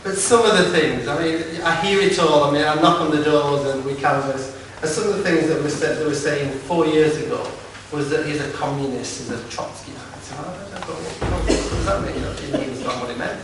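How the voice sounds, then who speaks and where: A man with a broad Lancashire accent giving an informal campaign speech at a political meeting, halting and repeating words, with wry asides. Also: on the high side. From Lancashire, England. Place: large echoey meeting hall. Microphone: distant from the recording device. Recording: echoey hall recorded from far off with noise.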